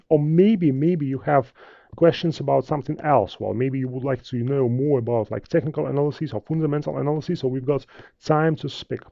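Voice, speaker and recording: Gravelly, dark-voiced man with Russian accent. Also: medium pitch, no echo, dull audio. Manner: hesitant, halting, many fillers and restarts. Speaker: trading instructor. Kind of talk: live webinar talk with viewer questions.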